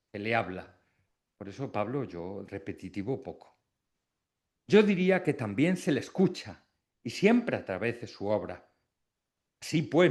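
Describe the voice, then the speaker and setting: Middle-aged man from Extremadura, formal lecture delivery, reflective and paused, very lively pitch. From Extremadura, Spain. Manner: reflective, with pauses between phrases. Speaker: academic giving an induction speech. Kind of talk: formal academic speech.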